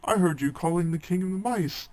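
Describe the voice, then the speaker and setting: A man voicing a little girl in a storybook, crying out in a high, loud, pleading voice. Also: fairly even intonation, fairly quick, lightly acted. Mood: accusing. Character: little girl, upset and accusing.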